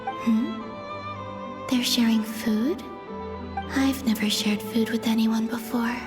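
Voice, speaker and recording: Game role: serene young goddess, high soft voice, unhurried, wistful, music underneath. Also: female voice, fairly even intonation. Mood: tender, wistful. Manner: slow, gentle, detached curiosity. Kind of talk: scripted video game voice acting. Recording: music behind the voice.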